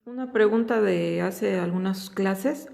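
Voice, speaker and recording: A woman's voice: medium pitch, takes it slowly, vocal fry, noisy background. A woman moderating a class, reading out students' questions to the teacher. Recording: echoey room with long reverb.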